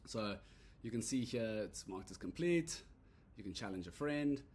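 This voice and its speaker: A man giving a relaxed, rambling walkthrough of an app he built, full of "uh" and "so yeah" fillers. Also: on the low side, moderate pace. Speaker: app creator demoing his product. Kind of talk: casual screen-recorded product demo. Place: at a computer recording a screen demo.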